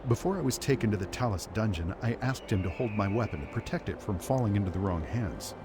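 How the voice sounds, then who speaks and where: An actor voicing a dignified older deposed ruler in a game, speaking in a measured, formal way. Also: male voice, fairly low pitch, animated, lightly acted. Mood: grave. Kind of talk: game cutscene voice acting. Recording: game audio with clear ambient noise.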